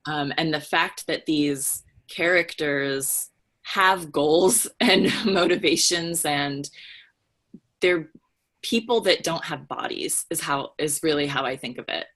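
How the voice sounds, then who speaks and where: A woman's voice: low-pitched, slow pace, fairly bright tone. A woman talking casually about books as a podcast panelist, with an earnest, emphatic manner. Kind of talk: casual book discussion.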